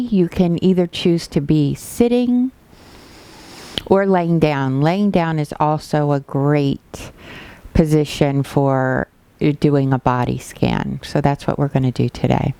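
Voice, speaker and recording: Woman leading a body scan, real slow and mellow, gentle, leaves pauses. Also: low voice, clean tone. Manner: gentle, unhurried instruction with pauses. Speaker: meditation teacher. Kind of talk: guided body scan meditation.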